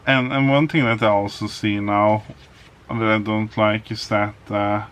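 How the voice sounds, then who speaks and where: A man with a Norwegian accent, a native Norwegian speaker, talking hesitantly in a casual vlog with many pauses and restarts. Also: fairly low pitch, level tone, a bit bright, smooth. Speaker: vlogger.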